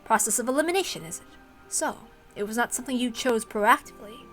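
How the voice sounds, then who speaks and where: Woman voicing a softly condescending, suave demon butler. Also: fairly high pitch, soft background music, close to an everyday voice. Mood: cool amusement. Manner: formal, measured, softly condescending. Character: suave, polite male demon butler, cruelly amused.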